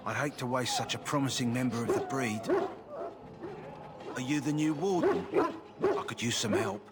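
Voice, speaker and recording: An actor playing a concerned, practical keeper of war hounds in a video game, explaining things earnestly and plainly. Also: male voice, mid-range voice, expressive, moderate pace, natural voice. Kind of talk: scripted video game character dialogue. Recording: very noisy game audio.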